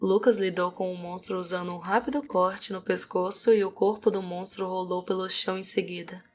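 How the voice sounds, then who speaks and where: A woman's voice: on the low side, steady tone, normal speed, darkish tone. A Brazilian woman reading scripted narration for a fantasy audiobook. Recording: music behind the voice. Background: music.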